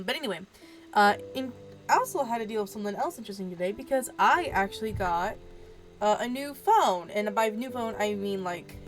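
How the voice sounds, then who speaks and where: A woman's voice: mid-range voice, rough recording. A woman hosting a gaming video, stuffed up from allergies, chatting in a rambling way full of fillers and keeping her voice down so as not to wake anyone at home. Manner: talks quietly so as not to wake others. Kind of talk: casual let's-play commentary. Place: room at home at night. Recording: static from a faulty mic.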